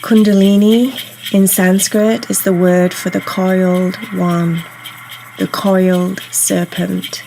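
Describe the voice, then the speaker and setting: A woman guiding a meditation in a soothing, unhurried, instructional way, leaving pauses between phrases. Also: medium pitch, little pitch movement, creaky, slight background noise, poor audio. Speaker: meditation guide. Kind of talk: guided meditation narration. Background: soft ambient meditation music.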